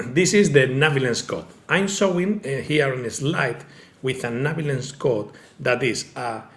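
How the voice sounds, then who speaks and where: Spanish-accented male presenter, non-native English, halting delivery with fillers, roomy sound on a video call. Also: mid-range voice, creaky. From Spain. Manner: halting, fillers like okay and eh, searches for words. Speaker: tech company founder presenting. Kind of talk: online presentation.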